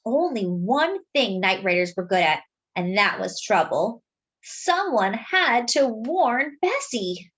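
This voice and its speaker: Slow, higher-voiced woman reading a story aloud. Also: sing-song, fairly bright tone. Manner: dramatic, deliberate, with asides to young listeners. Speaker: children's storyteller reading a picture book aloud. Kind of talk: expressive read-aloud storytelling.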